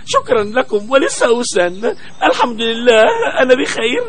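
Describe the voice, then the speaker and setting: A man's voice: very high-pitched, huge pitch swings, normal speed, a bit bright, heavily acted. A man voicing a timid, whiny, pleading snake in a children's cartoon dub. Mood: relieved. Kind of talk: children's cartoon dubbing in formal Arabic.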